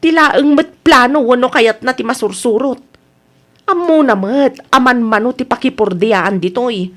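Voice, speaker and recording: A woman's voice: a little high, a little slow. A woman with an Ilocano accent playing an aggrieved, frustrated married daughter in a radio comedy-drama, emotive and full of complaint.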